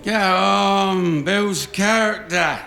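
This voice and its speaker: A man's voice: sits high, drawn-out words, gravelly, pushed and bright, full character voice. An actor playing a tipsy, mischievous old grandfather who narrates his family tale wryly in a live radio play. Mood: tipsy, jovial. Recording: roomy live stage, faint noise.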